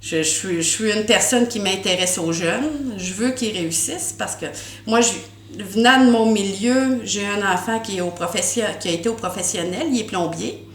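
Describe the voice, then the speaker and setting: A woman's voice: mid-range voice, normal speed, a little hiss or hum. A middle-aged woman with a Québécois French accent answers interview questions as a school board candidate, earnest and often restarting her words with "euh" fillers. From Quebec, Canada. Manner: earnest, repeats words while searching, many "euh" fillers. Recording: echoey room.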